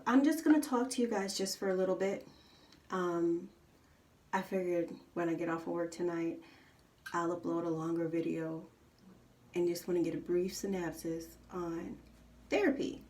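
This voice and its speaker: A soft-spoken woman chatting casually to her viewers while getting ready, rambling with many ums and you knows. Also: on the low side, fairly even intonation, a little slow, pauses often. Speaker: vlogger. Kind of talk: casual chat while doing makeup. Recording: roomy, slightly distant home recording.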